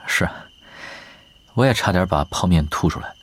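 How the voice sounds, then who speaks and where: An actor playing a laid-back, gently teasing young male gamer in an audio drama. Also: deep voice, lively intonation, takes it slowly, husky, heavily acted. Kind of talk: Chinese audio drama acting.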